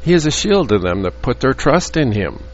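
A man teaching from the Bible in an earnest, halting way, with frequent restarts and fillers. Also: mid-range voice, very animated. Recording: dull lo-fi audio with faint hum.

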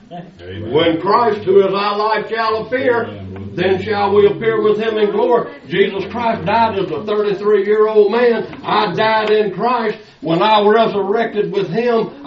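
An older man preaching a sermon, pressing his listeners with rhetorical questions. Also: high-pitched. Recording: echoey, distant, dull lo-fi sound.

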